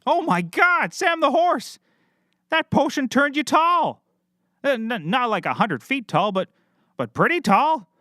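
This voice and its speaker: A man playing a bumbling, oblivious, excitable private detective in a scripted audio comedy, melodramatic and cheerfully clueless. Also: very high voice, measured pace, no room sound, exaggerated voice. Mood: dramatic, rambling.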